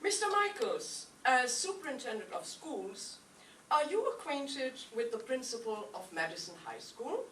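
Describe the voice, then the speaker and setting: Woman as insistent formal mother, forceful bright tone, animated high pitch, distant stage, radio comedy. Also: measured pace. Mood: indignant, formal. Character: concerned, formal businesswoman and school mother. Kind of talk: old-time radio comedy acting. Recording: echoey stage, distant mic, faint noise.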